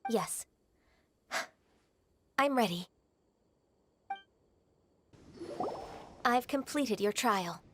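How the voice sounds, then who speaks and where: A woman playing a gentle, reserved half-human immortal in an English video game, speaking politely and formally. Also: on the high side, animated, slow, slight background noise. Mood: deferential, uneasy.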